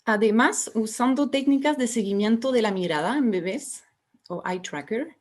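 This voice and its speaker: A woman, a researcher giving a symposium lecture, explaining step by step and pausing on fillers and restarts. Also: on the high side, animated. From France. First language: French. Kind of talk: academic lecture.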